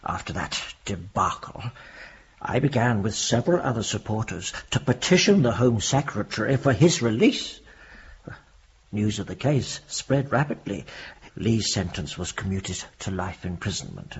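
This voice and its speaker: An actor playing an earnest, courteous Victorian clergyman recounting his memories, with a husky, gravelly voice and formal, measured storytelling. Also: fairly low pitch, animated, slight background noise. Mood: reflective. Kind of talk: period radio drama acting. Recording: dull lo-fi audio.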